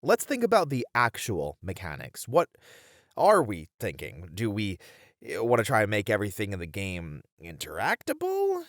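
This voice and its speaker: A man's voice: fairly low pitch, wildly swooping pitch, normal speed, fairly bright tone, no room sound. A man playing a hesitant but intrigued game developer in a conversational ASMR roleplay, casually thinking aloud on a close mic. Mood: pondering, practical. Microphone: close studio mic.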